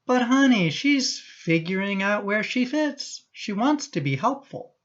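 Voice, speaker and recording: A woman's voice: medium pitch, lively intonation, unhurried. A woman playing a harried middle-aged husband and father in a radio play, in a hesitant, easily exasperated manner. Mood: coaxing. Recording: dull, muffled recording.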